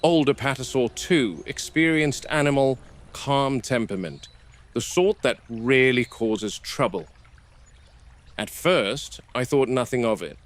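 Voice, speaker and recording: A man playing a stern, cautious game warden, speaking in a measured, matter-of-fact way as in a scripted found-footage field log. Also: medium pitch, lively intonation, natural voice. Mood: measured, observant. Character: stern, cautious male game warden logging park animal observations.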